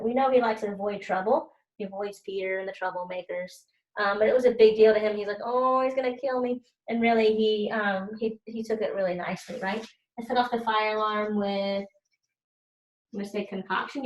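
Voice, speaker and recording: A woman's voice: medium pitch, lo-fi sound. A woman teacher doing a children's read-aloud, explaining things simply and speaking directly to her students. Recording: roomy, echoey sound.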